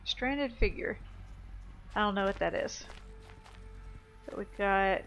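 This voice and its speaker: A woman's voice: mid-range voice, slow pace, fairly bright tone, rough recording. A woman giving casual, offhand let's play commentary on a video game in an informal way. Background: faint game music.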